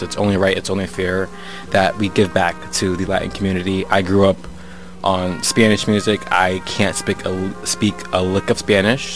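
A man's voice: low voice, fairly bright tone. A man from Connecticut hosting a radio show, chatting casually between songs and often repeating himself. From Connecticut, USA. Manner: casual, rambling, repeats phrases. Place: radio studio.

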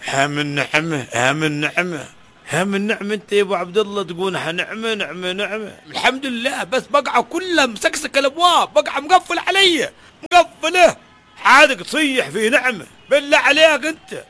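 A man playing a poor, harried father of nine who complains loudly in a comedy sketch. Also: sits high, animated, fast. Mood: bitter. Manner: loud, exasperated complaining.

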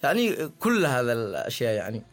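Male guest, Yemeni accent, hoarse-tinged mid-pitched voice, informal answers with halting phrasing. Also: very animated. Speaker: singer, interview guest.

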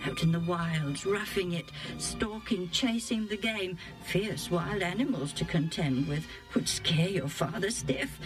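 A woman storyteller acts out a middle-aged mother in a storybook tale. She is fond, coaxing and quietly scheming, with emphatic delivery over faint music. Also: lowish voice. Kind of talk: acted Bible storybook dialogue.

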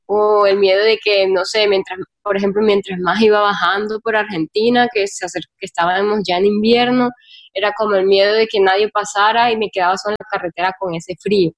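A woman with a Colombian Caribbean accent speaks in a medium-pitched voice as an interview guest. She tells her experiences casually and candidly, often repeating a word to stress it. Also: fairly even intonation, fairly quick. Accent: Colombian Caribbean Spanish. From Caribbean coast of Colombia. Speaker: interview guest, solo traveler and travel writer.

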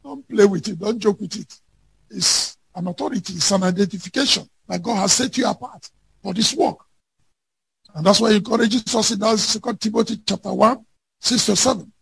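A man preaching a fervent sermon with scripture readings, emphatic and declamatory, often raising his voice to a shout. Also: extremely high pitch, no room sound.

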